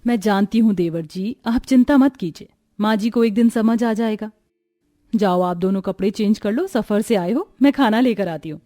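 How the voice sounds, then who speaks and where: A kind young elder daughter-in-law in a family moral story, played by a woman. She speaks politely and gently. Her manner is dutiful and placating. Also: mid-range voice, moderate pace, natural voice. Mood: soothing. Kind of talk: dramatised moral story acting.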